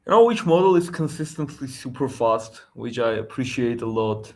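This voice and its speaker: A man's voice: mid-range voice, animated, moderate pace. A man whose first language is Armenian, hosting a casual tech livestream chat, laughing between points and restarting his sentences. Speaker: livestream host.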